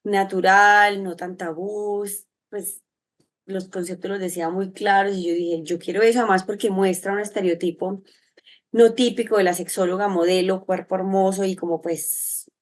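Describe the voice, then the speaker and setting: A woman's voice: medium pitch, fairly even intonation, moderate pace. Colombian woman telling her story in conversation. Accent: Colombian, from Medellín. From Medellín, Colombia. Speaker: interview guest, sexologist and psychologist. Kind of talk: conversational interview answers.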